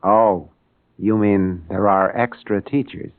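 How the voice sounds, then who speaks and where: A man's voice: fairly low pitch, steady tone, takes it slowly. An actor playing a mild, earnest middle-aged father with an American accent in an old-time radio drama. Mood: curious, friendly. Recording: tinny, band-limited old radio broadcast.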